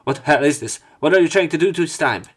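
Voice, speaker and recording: A man reading a visual novel aloud with commentary, in a theatrical, expressive way. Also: on the high side, fast, breathy edge. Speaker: let's player narrating and commenting on a visual novel. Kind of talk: dramatic read-aloud with commentary.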